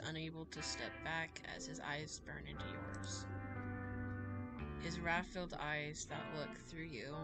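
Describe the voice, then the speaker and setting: Low-voiced woman streamer reading over music. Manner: dramatic narration broken by playful asides. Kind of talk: reading a visual novel aloud on livestream. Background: prominent music with plucked guitar.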